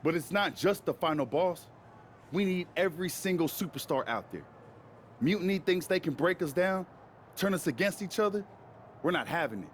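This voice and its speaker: A man's voice: high voice, animated, moderate pace, a little hiss or hum. A man playing a defiant, loyal tag-team wrestler in a video game, talking in slangy, emphatic short phrases. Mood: rousing, defiant. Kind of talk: wrestling promo and video game cutscene acting.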